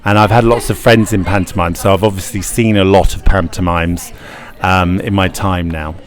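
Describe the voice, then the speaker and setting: A man's voice: sits low, fairly even intonation, moderate pace. A man, a pantomime actor, answering interview questions in a chatty, enthusiastic way.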